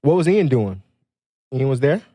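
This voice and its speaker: A man co-hosting a podcast who asks teasing, quick questions. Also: medium pitch, sing-song, warm tone, no room sound, clean studio sound. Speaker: podcast co-host. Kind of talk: casual podcast chat.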